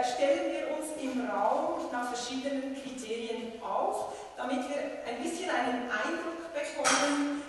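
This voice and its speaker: A native German-speaking woman with a German-speaking accent addresses a live audience in a high voice. She talks slowly and haltingly as an event moderator. The room is strongly reverberant. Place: large echoey indoor room at a live event. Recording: very echoey hall, far from mic, background noise.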